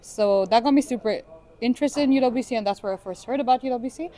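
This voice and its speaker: A young woman from Bangladesh answering interview questions slowly and thoughtfully. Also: a little high, warm tone. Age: young adult. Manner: thoughtful, slow-spoken, with frequent "super". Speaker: student interviewee.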